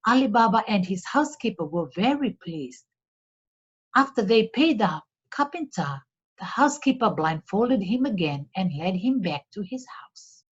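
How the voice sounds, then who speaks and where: A woman narrating a storybook in a deliberate, expressive read-aloud manner for children, on a slightly dull recording. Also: mid-range voice, slightly rough.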